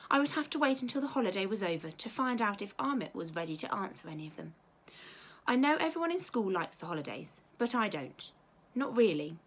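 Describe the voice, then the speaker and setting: A woman teacher reading a children's storybook aloud in an expressive, measured storytelling way. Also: medium pitch.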